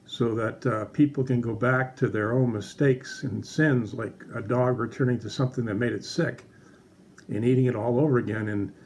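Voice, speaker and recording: A man's voice: medium pitch, steady tone, normal speed, darkish tone, slight background noise. A man presenting a casual Bible lesson for children in a puppet show, explaining things simply and conversationally.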